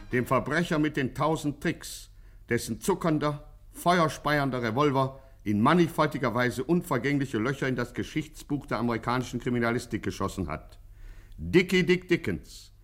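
A man's voice: mid-range voice, a bit bright, lightly acted. A man playing a bombastic, tongue-in-cheek crime chronicler, declaiming grandly in a vintage German radio satire. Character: bombastic mock-heroic crime chronicle narrator. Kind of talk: 1950s German radio play narration.

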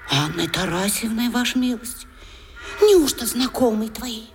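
A woman's voice: on the high side, expressive, measured pace. An actress playing a pious, deferential common woman in a period radio drama, sighing prayers in a folksy way. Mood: confiding, deferential. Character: pious, plain-spoken peasant-like common woman, lamenting. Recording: radio drama with faint music behind.

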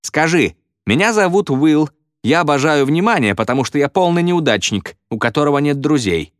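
Jeering teenage bully, acted by a male narrator, domineering, barked demands, animated pitch, storybook. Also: unhurried, dry recording, studio quality. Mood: taunting. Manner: taunting, hectoring, barking demands.

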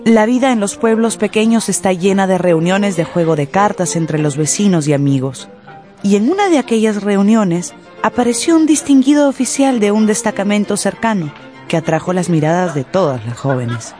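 A woman's voice: mid-range voice, expressive, moderate pace, warm tone, soft background music. A woman narrating a radio drama as a scripted storyteller.

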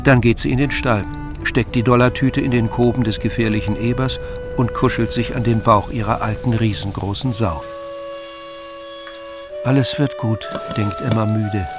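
The male narrator of an evening radio drama, telling the story in measured lines between scenes, with clear music underneath. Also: on the low side, steady tone. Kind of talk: scripted audio drama narration. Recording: music behind the voice.

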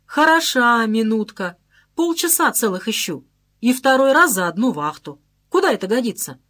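A woman's voice: on the high side, very animated, fairly bright tone, pitched above the performer's own voice. A woman reading a stern young boatswain. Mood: reproachful. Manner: reproachful, measured.

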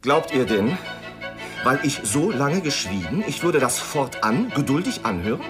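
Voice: medium pitch, very animated, measured pace, over music. A performer playing an indignant orator, reciting a scornful tirade of insults emphatically for a radio play. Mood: indignant, threatening. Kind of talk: theatrical literary recitation for radio.

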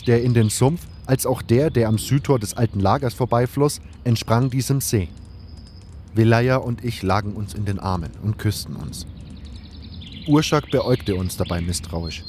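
A man with a mid-pitched voice narrates a fantasy audio drama in the first person, reading scripted prose. Birdsong and ambient noise are clearly present behind his voice. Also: darkish tone.

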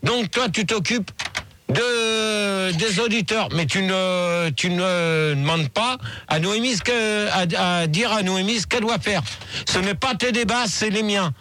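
A man with a high, bright voice hosts a comic mock radio debate. He orders his callers around and takes offence easily. He stumbles and repeats words as he goes. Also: measured pace, no room sound. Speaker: host of a parody radio debate. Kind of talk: comedic mock radio phone-in.

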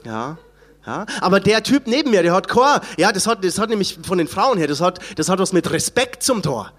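A man's voice: high voice, sing-song, a bit bright. A Bavarian man from Chiemgau doing live stand-up comedy, reciting a rhymed poem and riffing in a chatty, mock-indignant way. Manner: chatty, mock-indignant, playful drawn-out sounds. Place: live comedy show on stage.

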